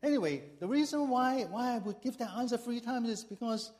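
A man's voice: very high-pitched, fairly quick, darkish tone. A middle-aged male pastor from Hong Kong preaching in a church, reading scripture and then telling a humorous personal story with frequent fillers.

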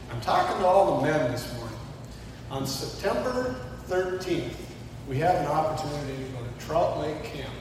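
A man's voice: fairly high pitch, background noise. A man, a pastor, giving a friendly, direct invitation in a promo video. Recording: distant, roomy video played in hall.

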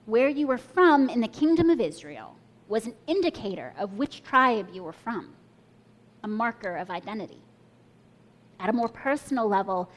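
Young woman preacher, slightly high voice, thoughtful sermon delivery. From Kenya and the US. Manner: reflective storytelling, invites listeners to imagine.

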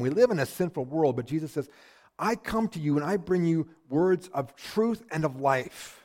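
A male pastor with a somewhat high voice preaches in an animated, storytelling way. He stumbles over and repeats words as he starts sentences. He swings between loud, energetic passages and quiet, hushed emphasis. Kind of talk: sermon.

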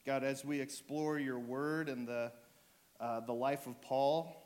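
A man with a sore throat and a fading voice, preaching to his church in a relaxed way, full of ums and restarts. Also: medium pitch, takes it slowly. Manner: joking, relaxed, with fillers and restarts. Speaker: pastor. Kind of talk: sermon.

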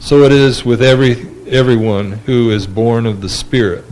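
A man with a big voice in a church discussion group, reading a scripture passage aloud and then reflecting on it slowly and deliberately. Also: mid-range voice, darkish tone, rough recording. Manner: slow and deliberate.

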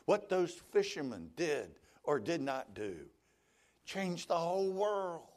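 A man's voice: fairly high pitch, very animated, slow pace, darkish tone. A male pastor preaching a sermon, telling stories and voicing the people he quotes.

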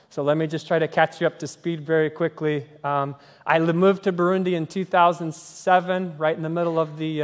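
A man preaching a sermon to a congregation, animated and conversational, often checking in with "right?". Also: on the high side, clear, resonant tone, big-room sound. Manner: animated preaching, tag questions and sung snippets. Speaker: pastor. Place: church sanctuary.

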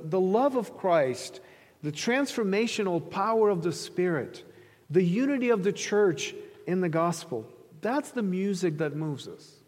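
A man from Ukraine preaching a sermon as a pastor, in a conversational storytelling manner. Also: high-pitched. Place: large reverberant room, preaching to an audience. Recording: hall reverb.

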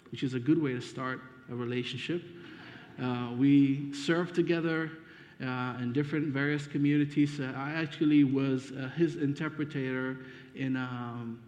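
A man's voice: medium pitch, darkish tone. A man from Ethiopia, a guest preacher, introducing himself and his family to a congregation in a friendly, hesitant way with fillers. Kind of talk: sermon introduction. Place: church sanctuary. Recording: dull muffled sound with long reverb.

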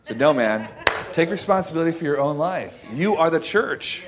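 A man giving an informal, conversational sermon as a preacher, folksy and halting, repeating phrases and checking with his listeners for agreement. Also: fairly high pitch, lively intonation, crowd noise. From Costa Rica.

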